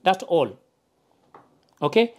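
A woman's voice: lowish voice, big pitch swings, takes it slowly, a little mellow. A woman presenting a hands-on tutorial, explaining simply step by step and often checking in with an okay. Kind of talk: hands-on instructional narration. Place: indoors, at a wooden work table.

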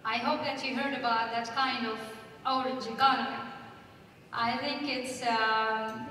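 A woman's voice: sits high, a little hiss or hum. A woman speaking on a conference panel stage into a handheld mic, putting questions to the audience. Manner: asks the audience questions, lively. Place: large conference hall stage. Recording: echoey hall from far off.

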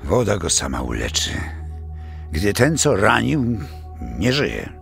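Gravelly old pagan soothsayer. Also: male voice, on the low side, huge pitch swings, slow, a bit bright, faint music behind, heavily acted. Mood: solemn, commanding. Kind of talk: dramatic audio play acting.

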